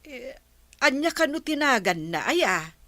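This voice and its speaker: Bright, unhurried meddling village wife. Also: female voice, sits high, sing-song, no echo, exaggerated voice. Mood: concerned. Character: curious, meddling married village woman. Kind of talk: Ilocano radio comedy drama acting.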